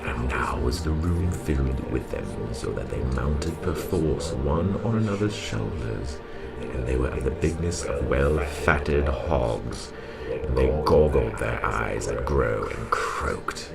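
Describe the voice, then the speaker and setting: Extremely deep-voiced man narrating dark fantasy. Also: expressive, takes it slowly, gravelly. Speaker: audiobook narrator. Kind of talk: scripted dramatic narration of archaic fantasy. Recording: moody music soundscape behind.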